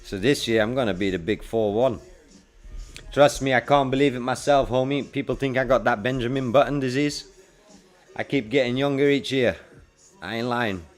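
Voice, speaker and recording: A man's voice: mid-range voice, moderate pace. Laid-back forty-year-old man chatting over music. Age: 40s. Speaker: livestreamer and freelance web developer. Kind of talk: casual livestream chat with viewers. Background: music playing.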